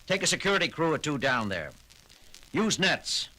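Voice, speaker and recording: An actor playing a commanding male starship captain who talks in a brisk way, giving orders, in a 1970s audio drama. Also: fairly high pitch, very animated, sharp tone, full character voice, poor audio.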